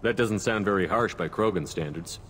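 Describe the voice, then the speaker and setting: Low, steady starship commander. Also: male voice, normal speed. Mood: calm. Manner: plain, direct, businesslike. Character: human male military starship commander, calm, steady, loyal. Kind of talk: video game cutscene acting. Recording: faint background noise.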